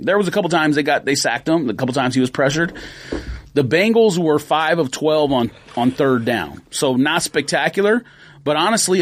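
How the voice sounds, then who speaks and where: A man co-hosting a podcast, debating football fast and insistently in casual talk. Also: a little high, husky. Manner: animated, argues fast with stats. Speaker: podcast co-host.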